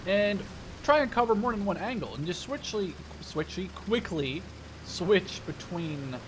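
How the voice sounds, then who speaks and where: Young guy in his 20s, pretty high voice, rambly casual gamer commentary, engine and game noise behind him. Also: lively intonation, a little slow, low-quality recording. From Netherlands. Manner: informal, rambling, digressive storytelling. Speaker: gaming video host. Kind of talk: casual gameplay commentary with history asides.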